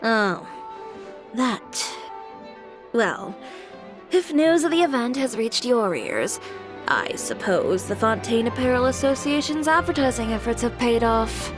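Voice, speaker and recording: A woman's voice: a little high, big pitch swings, measured pace. An actress playing a confident, blunt young female boutique owner in a video game, with a cool, curt, self-assured manner. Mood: matter-of-fact. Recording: music behind.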